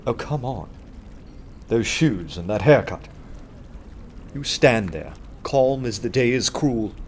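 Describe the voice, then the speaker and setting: An actor playing a wry, cultured artist turned detective in a radio play, articulate and dryly theatrical. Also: male voice, medium pitch, animated, takes it slowly. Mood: scornful. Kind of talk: dramatised first-person narration and dialogue. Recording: music or ambient noise behind.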